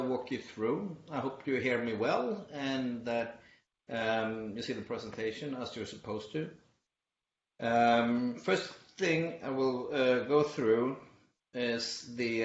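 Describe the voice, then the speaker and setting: A middle-aged man from Sweden giving an online conference presentation, hesitant with many fillers and restarts. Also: mid-range voice. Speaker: conference speaker. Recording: muffled lo-fi audio in an echoey room.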